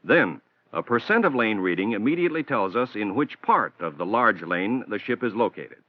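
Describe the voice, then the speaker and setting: An American man reading a scripted instructional narration for a training film in a measured, formal, explanatory manner, on a 1960s film soundtrack. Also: on the low side, expressive, no room sound. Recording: dull, band-limited 1960s film soundtrack.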